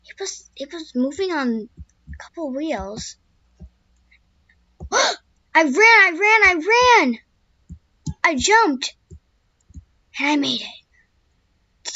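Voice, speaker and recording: A woman voicing a young wild male horse, a skittish loner, telling his own story in first person with a squeaky voice. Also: very animated, slow, pauses often, pushed and bright, no echo, lo-fi sound, exaggerated voice. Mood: breathless. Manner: first-person storytelling with restarts, turning frantic when scared. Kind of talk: amateur spoken story.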